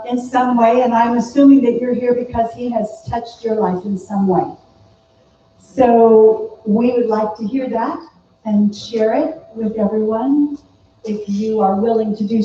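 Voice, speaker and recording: A woman speaks very slowly and haltingly, her mellow, somewhat high voice pausing often. She is recorded from a distance in a hugely echoing hall, the sound dull and muffled. A faint hum sits behind her. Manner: halting, with many ums and pauses. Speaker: family member welcoming memorial guests. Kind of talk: heartfelt speech at a memorial service. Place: large hall at a memorial gathering. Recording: dull, very echoey hall, mic far off.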